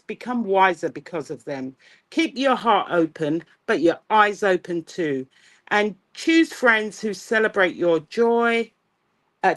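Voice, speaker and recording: A woman co-hosting a talk show, speaking in a measured, eloquent and encouraging way about friendship. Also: mid-range voice. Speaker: co-host. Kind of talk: inspirational talk-show monologue.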